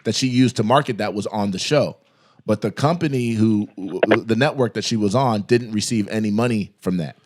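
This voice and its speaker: Low-voiced male podcast host. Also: a little fast, darkish tone. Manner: advice-giving, talks through contract examples at length. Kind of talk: casual interview chat.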